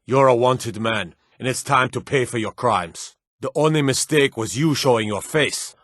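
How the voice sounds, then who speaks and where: An actor playing a stern, menacing fantasy city guard in a video game, gruff and authoritative, with a weary, corruptible streak. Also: male voice, medium pitch, normal speed, fairly bright tone, no echo, clean studio sound, close to an everyday voice. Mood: accusing, menacing. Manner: gruff, authoritative, clipped threats. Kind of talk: scripted fantasy game voice lines.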